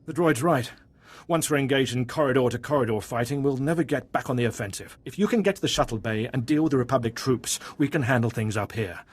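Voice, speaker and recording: An actor playing a gruff, pragmatic warship captain in a video game, firm and commanding. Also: male voice, mid-range voice, quick pace, lightly acted. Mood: urgent, commanding.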